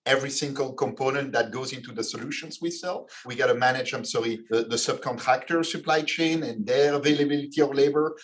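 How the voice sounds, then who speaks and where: Guest, forties, man from Belgium, measured, restarts often. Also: medium pitch. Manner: measured, with frequent restarts and fillers. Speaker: guest, finance executive. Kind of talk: podcast interview answers.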